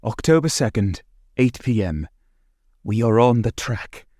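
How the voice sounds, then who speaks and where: A man's voice: on the low side, animated, measured pace, a little mellow, no echo. An actor playing an earnest young husband, dramatically reading his vampire-hunting journal in clipped phrases for a radio play. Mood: grim, earnest.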